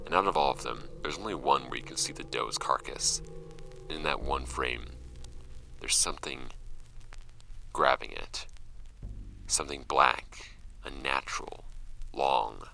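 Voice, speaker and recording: An actor playing a shaken paranormal investigator recounting a grim field log in a horror audio drama, grave and deliberate, his gravelly voice often fading to breath. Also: pushed and bright, heavily acted, rough recording. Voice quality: very low, gravelly, often breathy at phrase ends. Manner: slow-burning, grave, deliberate.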